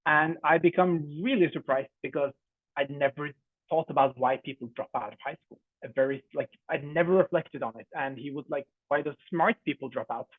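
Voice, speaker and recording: Norwegian-accented young man, friendly co-founder pitching a demo, slightly high, tinny dry call audio. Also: brisk, husky, no echo. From Norway. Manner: friendly, chatty, many fillers. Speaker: startup co-founder presenting. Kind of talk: online demo presentation. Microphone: video call.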